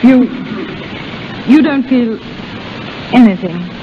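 A woman's voice: fairly high pitch, lively intonation, slow pace, background noise. An actress playing a spirited, affectionate young woman in love in a 1930s radio drama. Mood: reproachful. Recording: muffled 1930s radio broadcast with music.

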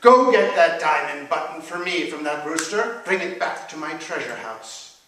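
A man's voice: on the high side, expressive, moderate pace, a bit bright, a little hiss or hum. A man voicing a pompous, furious Turkish Sultan in a folktale, barking orders. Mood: imperious, angry. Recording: very echoey room, distant mic.

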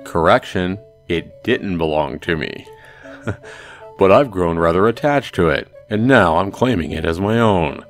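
A man's voice: low-pitched, lively intonation, measured pace, faint music behind. A man voicing a cocky, goofy teenage schoolboy from a visual novel, brash and teasing. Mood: taunting. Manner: brash, teasing dude talk.

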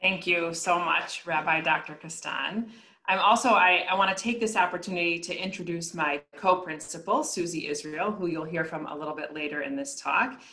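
A woman's voice: fairly low pitch, normal speed, bright, forceful tone. A woman principal introducing her teachers on a video call, friendly and a little flustered. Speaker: school principal.

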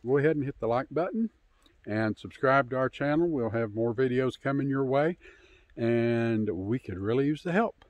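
A man in his fifties giving a casual, unscripted tour outdoors on a handheld phone, pausing often as he talks. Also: mid-range voice, darkish tone, no room sound. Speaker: homesteader hosting a channel. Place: outdoors in bare winter woods. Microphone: handheld phone at arm's length.

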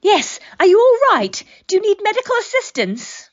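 Very high, excitable innocent. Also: female voice, moderate pace, lo-fi sound. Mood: earnest. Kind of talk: audio drama acting.

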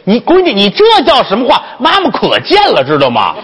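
A man's voice: high-pitched, huge pitch swings, brisk, fairly bright tone, breathy edge, long reverb tail, voice pushed up, full character voice. A man voicing a fawning, scheming old brothel madam who repeats herself eagerly in comic storytelling. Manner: effusive, repeats phrases eagerly.

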